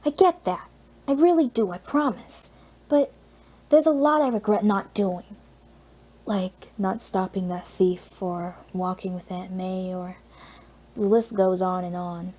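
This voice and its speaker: A woman voicing an eager, awed and nervous teenage boy in an audiobook, with halting, earnest delivery. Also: medium pitch, warm tone, close to an everyday voice. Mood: earnest, pleading.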